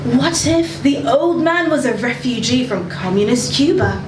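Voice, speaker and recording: A woman reading as a condescending, blunt book editor in a satirical letter, with an arch, deliberate delivery and pointed pauses. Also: sits high. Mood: arch, mock-earnest. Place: indoor social venue at live reading event. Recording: roomy, noisy live venue, distant mic.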